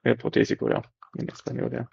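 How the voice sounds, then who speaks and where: Brief: technician speaking careful broken Spanish, creaky husky voice, dull dry stream audio. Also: male voice, mid-range voice, completely flat delivery, darkish tone. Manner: halting non-native Spanish, many fillers, tag questions. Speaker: dental technician and product developer. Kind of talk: casual technical explanation.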